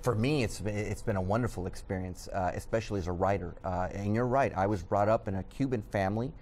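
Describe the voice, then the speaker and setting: A man's voice: on the low side, fast, a little mellow, slight background noise. A middle-aged man whose first language is Spanish, a news editor talking earnestly as a guest in a studio TV interview, with many fillers. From Southern California.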